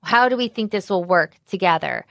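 A woman who is an executive chef, talking casually in an interview with a naturally loud voice and an animated, candid manner full of fillers. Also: medium pitch, fairly quick, gravelly, no room sound.

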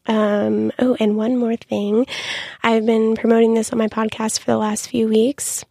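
A young woman hosting a podcast in a casual, chatty monologue, her voice raspy and full of ums. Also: fairly high pitch, level tone, unhurried, dark tone, no room sound, clean studio sound. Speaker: podcast host.

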